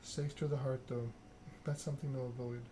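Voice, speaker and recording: A man's voice: medium pitch, flat delivery, a little fast, background noise. A man doing an ASMR read-aloud of a narrative game, occasionally stumbling over the written text. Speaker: gaming channel host.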